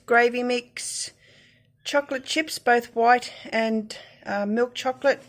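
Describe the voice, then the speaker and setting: An older Australian woman vlogging casually about her budget grocery shop, listing items with lots of ums and pauses, recorded on a phone. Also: a little high. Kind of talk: casual grocery haul vlog. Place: inside a parked car. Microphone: phone camera at arm's length.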